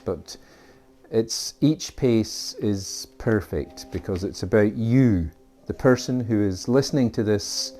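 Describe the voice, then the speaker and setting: A Scottish man introduces his art class in a slow, rambling way, full of fillers and small asides. His voice sits low and mellow. Faint music plays under his talk. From Scotland. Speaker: art teacher.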